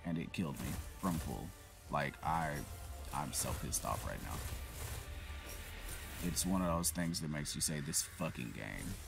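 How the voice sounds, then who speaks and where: Male gamer, low gravelly voice, swinging inflection, rambling self-mocking commentary over game music. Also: animated, a little slow, long pauses. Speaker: gaming channel host. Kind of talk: casual gameplay commentary.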